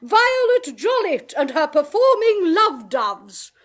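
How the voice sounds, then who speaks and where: An actress playing a sardonic middle-aged medium and former stage performer, with a British accent and a dry, flamboyant way of talking. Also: female voice, extremely high pitch, big pitch swings, takes it slowly, full character voice. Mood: bitter. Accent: British English. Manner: sharp-tongued, dry, flamboyant. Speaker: clairvoyant. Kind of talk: 1980s radio drama acting.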